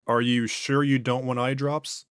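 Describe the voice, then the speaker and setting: A man's voice: medium pitch, little pitch movement, measured pace, smooth, no room sound, studio quality, natural voice. A man doing an earnest, persistent interrogator. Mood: persuasive. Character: earnest male interrogator at a secure facility.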